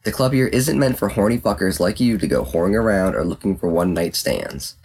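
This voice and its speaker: A man playing a sly, sharp-tongued young male fox student in an audiobook. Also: low-pitched, expressive, fairly quick, slightly rough, heavily acted. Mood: suspicious, terse. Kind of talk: acted audiobook character dialogue.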